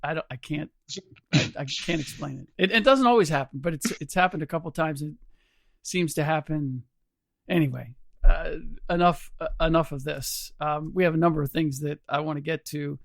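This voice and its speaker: A middle-aged man hosting a podcast in casual chat, his voice hoarse and a little rough, rambling with restarts and small chuckles. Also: on the high side, normal speed, no room sound. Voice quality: slightly rough voice. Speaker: podcast host.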